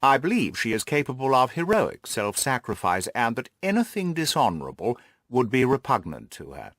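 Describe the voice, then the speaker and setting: A man playing a haughty, reserved aristocratic lord with a pompous, measured way of talking in an audiobook. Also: mid-range voice, huge pitch swings, fairly bright tone, dry recording, clean studio sound. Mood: proud, deliberate. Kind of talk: audiobook character dialogue.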